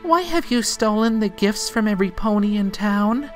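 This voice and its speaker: A man's voice: sits high, animated, normal speed, faint music behind, pitched above the performer's own voice. A man voicing a small, sad filly pony in a storybook parody, timid and pleading.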